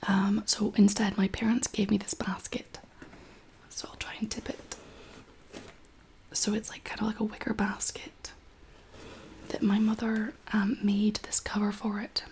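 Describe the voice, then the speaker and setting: A woman in her thirties hosting a soft-spoken ASMR chat in a gentle, unhurried, whispery and slightly husky voice. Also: mid-range voice, flat delivery. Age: 30s. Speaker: ASMR video host.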